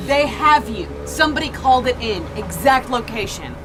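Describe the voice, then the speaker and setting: A woman's voice: fairly high pitch, animated, normal speed, lightly acted. An actress playing a hard-bitten, exasperated woman agent, brusque and commanding, in a sci-fi thriller audio drama. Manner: brusque, foul-mouthed, commanding. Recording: noisy moving vehicle ambience.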